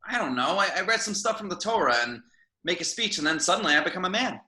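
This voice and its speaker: A nervous, tightly wound thirteen-year-old boy, as a man reads him. The voice is high, very bright and lively, leaping up and down in pitch. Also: fast, exaggerated voice.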